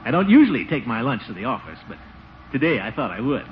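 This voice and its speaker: A man's voice: mid-range voice, very animated, quick pace, natural voice. Man acting blustery, flustered uncle on noisy old radio. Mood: blustering small talk. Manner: blustery, muttering, self-important. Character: pompous, flustered middle-aged uncle guarding money. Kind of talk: 1940s radio comedy acting. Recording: dull, noisy 1940s radio recording.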